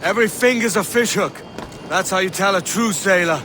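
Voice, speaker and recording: A man's voice: high voice, a little fast, a crowd in the background, close to an everyday voice. A man acting a roguish young pirate sailor in a video game, with a Welsh accent and a breezy, wry, cheeky manner. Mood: breezy, confident.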